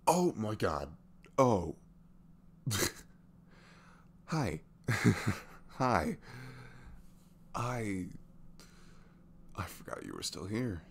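A man playing a sweet, tired single dad in his twenties in an intimate ASMR roleplay, talking haltingly. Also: low-pitched, a little hiss or hum, exaggerated voice. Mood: startled, apologetic. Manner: hesitant, halting, softly chuckling.

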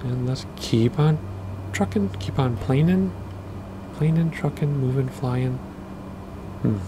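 A man, rambling casually through gameplay commentary with lots of fillers, his voice a bit hoarse from losing it. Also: mid-range voice, takes it slowly, noisy background. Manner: rambling, chatty, with many fillers. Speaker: flight sim gaming host.

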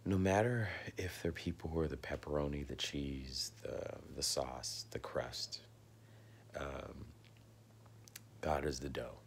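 Hoarse, very deep, gravelly man. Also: fairly even intonation, slow pace, a little hiss or hum. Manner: often drops to a husky whisper, clears his throat. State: hoarse, losing his voice. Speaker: vlogger sharing religious theories. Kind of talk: casual home video monologue. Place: living room at home, indoors. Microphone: phone camera at arm's length.

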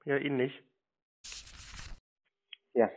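A man's voice: mid-range voice, little pitch movement, slow pace, creaky. A man who calls scammers, mocking and insulting them while pressing for their names. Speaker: scam-baiting caller. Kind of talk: confrontational phone call. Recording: dry tinny phone line.

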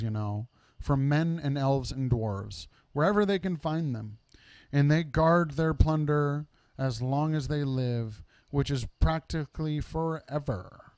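A man's voice: on the high side, a little mellow, dry recording. A man voicing a proud, self-important dwarf leader in a storybook, with a pompous, grandiose way of telling things.

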